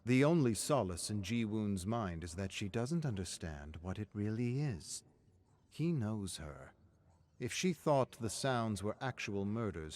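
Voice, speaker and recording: A man reading dramatic scripted narration of a dark story in a measured, grave manner. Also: on the low side, slight background noise.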